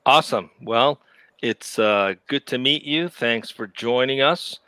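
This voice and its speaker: A man's voice: medium pitch, unhurried, bright, forceful tone, no room sound. A man hosting a live web show over a video call, chatty and upbeat, laughing easily between remarks. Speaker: show host.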